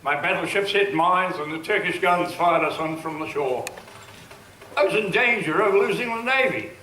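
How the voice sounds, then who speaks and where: Worried British admiral, played by a man, sharp high voice. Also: animated, moderate pace, a little hiss or hum. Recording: echoey hall.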